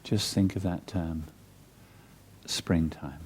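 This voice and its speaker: A man leading a guided meditation in a soft, breathy, soothing and measured way, leaving long pauses between phrases. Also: low voice, steady tone, slight background noise.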